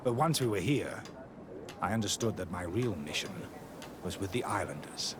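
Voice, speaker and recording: An actor playing a guarded, zealous missionary monk and scholar in a video game, speaking in a measured, dismissive way. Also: male voice, low voice, expressive, noisy background. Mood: zealous, earnest. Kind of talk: video game cutscene acting.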